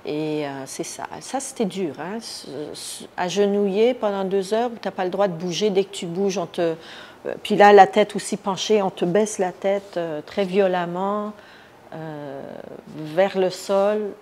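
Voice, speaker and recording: A woman from Iran giving a spontaneous first-person account in an interview, often pausing to search for words. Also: medium pitch, a little mellow. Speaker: interviewee, activist recounting her detention. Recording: noticeable background noise.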